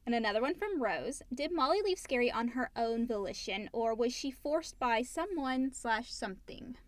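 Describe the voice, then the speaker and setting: Female co-host, higher voice, casual question reader, dry close sound. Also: lively intonation, normal speed, no echo. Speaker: co-host reading viewer questions. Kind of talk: casual Q&A chat.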